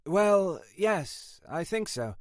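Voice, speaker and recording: A man's voice: sits high, sing-song, extremely slow, no room sound, studio quality, pitched above the performer's own voice, full character voice. A man voicing a flustered, apologetic female detective sergeant who hesitates and evades, in an audiobook. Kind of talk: audiobook character dialogue.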